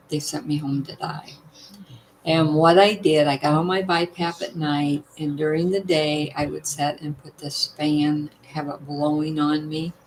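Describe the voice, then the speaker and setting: Brief: older woman, low weakened voice, chatty and emphatic, light background hiss. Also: low-quality recording. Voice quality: voice partly worn, weak. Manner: chatty, emphatic, asks "you know what?". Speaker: vlogger sharing health tips. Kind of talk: casual talk to viewers.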